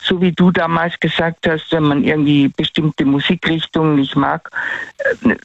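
A man's voice: on the high side, level tone, normal speed, vocal fry, no echo. A middle-aged man with a Tyrolean accent, calling in to a radio show and rambling on with fillers and restarts. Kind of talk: casual radio call-in chat. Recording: dull lo-fi radio line.

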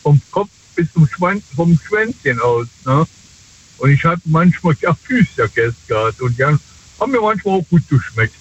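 A man calling in by phone, chatting casually in a rambling, halting, colloquial way with frequent tag questions. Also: a little high, sing-song, slight background noise. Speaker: phone-in caller. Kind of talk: casual chat. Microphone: telephone. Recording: dull lo-fi phone call.

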